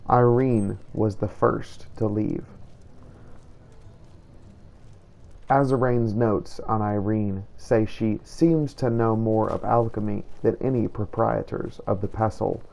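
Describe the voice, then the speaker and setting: A man voicing a solemn, righteous vampire-hunting inquisitor, narrating his hunt in formal, measured, old-fashioned phrasing for a game roleplay. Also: lowish voice, fairly even intonation, gravelly, warm tone, poor audio. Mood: grim, resolute. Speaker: video game player narrating in character. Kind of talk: scripted first-person roleplay narration.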